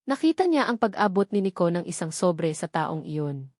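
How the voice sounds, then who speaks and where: A woman's voice: lowish voice, sing-song, normal speed, darkish tone, no echo, studio quality. A woman reading a scripted romantic drama story as its narrator.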